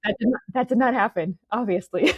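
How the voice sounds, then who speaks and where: A woman's voice: on the high side, a little fast, breathy edge, no echo, low-quality recording. A woman in her forties from Romania, talking casually as an interview guest, restarting sentences and tagging them with "okay?". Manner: chatty, many restarts and "okay?" tags.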